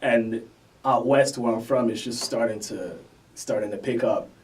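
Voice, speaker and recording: A young man talks easily about his music, loose and unhurried, with plenty of fillers. His voice is mellow and soft-edged, and it sits a little back from the mic. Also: mid-range voice, fairly even intonation, recorded from a distance, poor audio. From Canada. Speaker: guest rapper. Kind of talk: casual interview chat.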